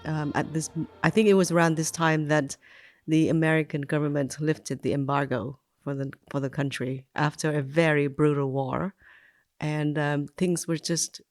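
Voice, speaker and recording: A woman's voice: deep voice, steady tone, normal speed, a little mellow. A woman in her forties from Vietnam, recalling her childhood in an unscripted, reflective way, with frequent ums and likes and restarts in her sentences. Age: 40s. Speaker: migrant telling her life story. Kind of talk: unscripted personal memoir.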